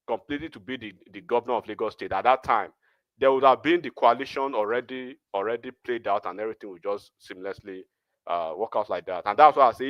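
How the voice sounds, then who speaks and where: A man's voice: medium pitch, a bit bright, no echo, rough recording. Nigerian man with a Yoruba accent, rambling. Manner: rambling, many restarts and fillers. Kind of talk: political discussion chat.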